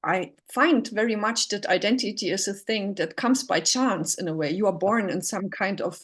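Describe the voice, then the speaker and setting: A woman's voice: mid-range voice, normal speed, fairly bright tone, slightly rough. A woman raised in Germany after a childhood in Poland, speaking reflectively as a musician guest on a remote call. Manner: reflective, thoughtful. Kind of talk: casual interview.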